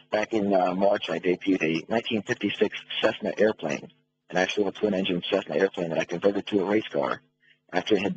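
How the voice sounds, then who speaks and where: Low-voiced American man on a phone line. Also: a little mellow. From United States. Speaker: phone-in interview guest, race car builder. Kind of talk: conversational radio interview. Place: phone call to a radio show. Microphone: telephone. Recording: muffled noisy phone line on radio.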